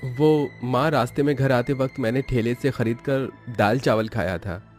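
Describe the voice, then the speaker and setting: A man's voice: mid-range voice, normal speed, soft background music, close to an everyday voice. A man voicing a rich, sincere young college student in a cartoon story, polite and earnest. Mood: matter-of-fact. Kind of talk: Hindi cartoon story acting.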